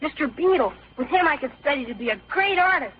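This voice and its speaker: An actor playing a lively, indignant young boy with artistic ambitions in an old-time radio comedy. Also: very high voice, fast talker. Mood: scheming. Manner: excitable, eager, protesting. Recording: dull vintage radio recording.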